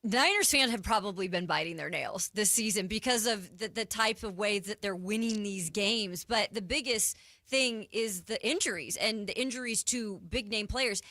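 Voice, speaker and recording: Female sports co-host, bright forceful voice, dry close sound, casual interviewing. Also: mid-range voice, moderate pace, no echo.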